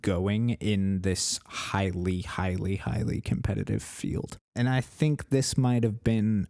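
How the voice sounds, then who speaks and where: A young man with a very low voice talks through a podcast in a casual, earnest way, full of fillers and restarts. The sound is dry and close, with no room around it. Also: animated, slow pace, gravelly, warm tone, dry recording. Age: 20s. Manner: earnest, rambling, with many fillers. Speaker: podcast co-host. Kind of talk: casual chat.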